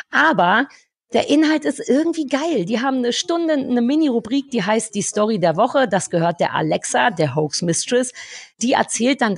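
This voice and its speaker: A woman co-hosting a podcast, talking casually in an animated, blunt ranting manner with some swearing. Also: medium pitch, creaky, husky, no echo. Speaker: podcast co-host. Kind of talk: casual review chat.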